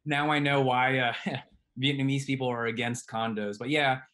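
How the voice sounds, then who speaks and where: A man guest on a podcast, a radiologist, telling his story in a relaxed way, hedging often. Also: medium pitch, moderate pace. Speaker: guest, radiologist and private lender. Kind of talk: casual podcast interview.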